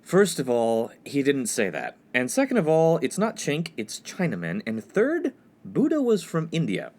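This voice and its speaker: A man's voice: on the high side, wildly swooping pitch, moderate pace, a little mellow. A man playing an earnest, exasperated young college freshman in a comedic radio play, indignant and sarcastic. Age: young adult. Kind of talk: comedic 1950s-set radio play acting. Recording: faint background noise.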